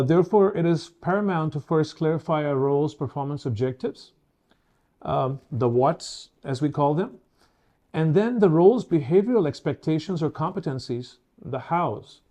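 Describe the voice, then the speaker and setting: A man in his fifties presents as the host of a professional webinar. His voice sits a little high for a man and has a relaxed, mellow tone. He words things formally and hesitates between phrases.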